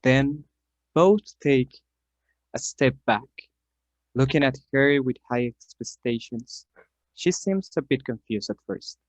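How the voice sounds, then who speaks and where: Male narrator, amateur radio play, scripted storytelling, mid-range pitch, very dry sound. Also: slow, dry recording, muffled recording.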